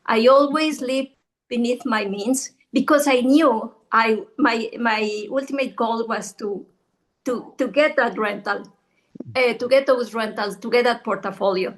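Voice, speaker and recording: Middle-aged Ecuadorian woman, Spanish native, earnest storyteller with a fairly high voice. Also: measured pace, low-quality recording. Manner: earnest, storytelling, many restarts. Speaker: guest realtor. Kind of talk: casual interview chat.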